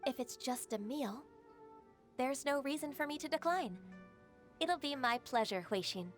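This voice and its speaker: A woman voicing a gentle, reliable young woman secretary in a video game, who speaks softly, politely and modestly. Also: high-pitched, expressive, a little slow. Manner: soft-spoken, polite, modest. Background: game music.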